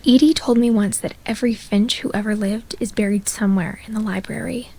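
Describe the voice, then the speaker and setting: A woman's voice: medium pitch, fairly even intonation, a little fast, vocal fry, a little hiss or hum, natural voice. A woman voicing a wistful young narrator. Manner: quiet wistful storytelling. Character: young woman narrator returning to family home. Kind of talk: game narration.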